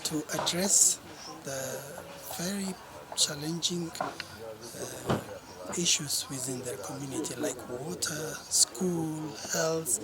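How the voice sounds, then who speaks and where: Halting Ethiopian man, loud crowd behind. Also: a little high. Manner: halting, searching for words. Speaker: farmers' cooperative representative. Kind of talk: impromptu testimonial.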